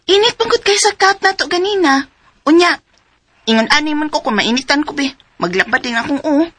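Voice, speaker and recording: A woman's voice: high-pitched, expressive, fairly quick, fairly bright tone. A young woman with a Cebuano accent playing a suffering, plaintive teenage schoolgirl in a radio drama. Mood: weary complaining.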